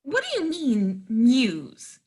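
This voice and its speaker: A woman's voice: on the high side, huge pitch swings, slow, a little mellow, slight background noise, full character voice. A woman playing a timid, naive young country woman in a play reading, hesitant in her delivery. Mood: timid, puzzled.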